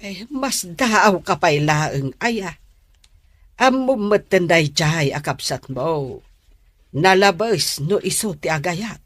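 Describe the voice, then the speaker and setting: A woman's voice: fairly low pitch, lively intonation, slow, dry recording. A woman playing a worried, admonishing middle-aged mother in a radio drama. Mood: concerned, lamenting.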